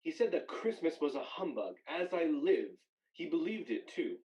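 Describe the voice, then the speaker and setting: A man playing a good-natured, charitable Victorian gentleman in a radio play, genial and earnest. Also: fairly high pitch, sing-song, normal speed. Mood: amused, forgiving. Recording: slightly dull lo-fi audio.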